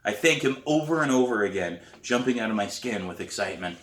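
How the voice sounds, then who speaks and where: A man, an author, reading his novel aloud in expressive narration. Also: lowish voice, fairly quick, reverberant, a little hiss or hum. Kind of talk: expressive audiobook-style narration.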